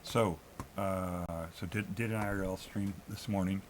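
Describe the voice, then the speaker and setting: A low-pitched American man talks off the cuff to a livestream. He is hesitant and halting, feeling his way through each thought. The audio has clear background noise. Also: steady tone. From New England, US. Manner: hesitant, halting, self-critical. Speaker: amateur live streamer. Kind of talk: casual unscripted solo livestream chat.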